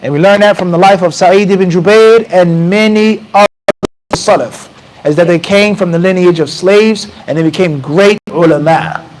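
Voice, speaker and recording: Brief: American man, 30s, lively mosque lecturer, high voice, handheld mic, faint hiss. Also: normal speed, low-quality recording. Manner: animated, conversational, quotes Arabic passages. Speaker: mufti teaching a class. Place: small mosque room with bookshelves. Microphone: handheld mic close to mouth.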